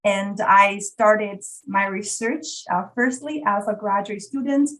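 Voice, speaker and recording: A woman from China, speaking English as a second language, opening an online workshop with measured, hesitant phrasing over a video call. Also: mid-range voice, fairly even intonation, fairly bright tone, rough recording. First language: Chinese. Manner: measured, with frequent ums and uhs. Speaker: workshop facilitator.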